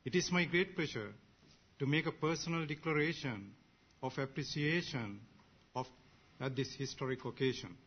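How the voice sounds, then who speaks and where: A man's voice: mid-range voice, slightly rough. Sinhalese-native man from Sri Lanka, formal assembly speech, muffled lo-fi recording. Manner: measured, ceremonious, short phrases. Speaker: assembly member.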